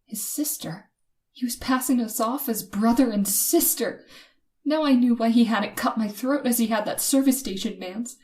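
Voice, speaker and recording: A woman's voice: fairly high pitch, lightly acted. An actress playing a frightened young woman held captive by a killer, telling her story in a suspenseful old-time radio horror drama. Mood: tense narration. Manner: breathy dramatic suspense, hushed and halting when afraid.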